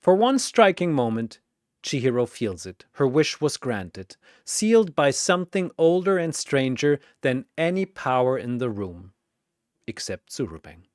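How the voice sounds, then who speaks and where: Slow, dramatic story narrator. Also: medium pitch, very animated, a little mellow, dry recording, studio quality.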